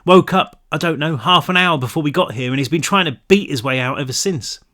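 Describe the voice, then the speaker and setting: A man's voice: on the high side, fairly quick, bright, forceful tone. A man voicing an uneasy teenage girl in a story reading, defensive and matter-of-fact.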